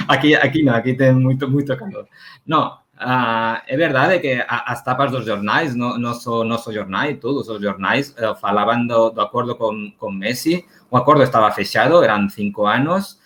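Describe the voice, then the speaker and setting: A man with a Catalan accent speaks Portuguese as a guest on a remote panel, his voice sitting at an ordinary middle pitch. He drifts into Spanish words and stops to restart his phrases as he explains. It sounds like a plain video-call hookup. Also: normal speed, fairly bright tone. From Barcelona, Spain. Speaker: guest journalist. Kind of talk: casual panel discussion.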